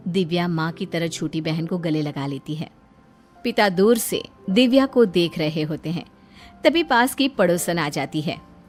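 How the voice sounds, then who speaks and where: A woman's voice: lowish voice, animated, a little slow, faint music behind. A woman reading scripted narration as the storyteller of a moral tale.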